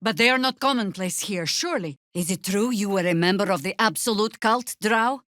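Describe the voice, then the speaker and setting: A woman's voice: mid-range voice, a little fast, a bit bright, no echo, close to an everyday voice. A woman playing an older, seasoned druid warrior in a fantasy video game, wry, dry and commanding in manner. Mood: wry, measured.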